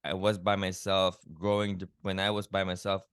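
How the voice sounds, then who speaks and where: Deep-voiced man, earnest and reflective. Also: little pitch movement, moderate pace, fairly bright tone, clear, resonant tone, dry recording, studio quality. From Philippines. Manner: earnest, reflective, many "you know" fillers. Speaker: guest, keto health coach. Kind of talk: personal story in a podcast interview.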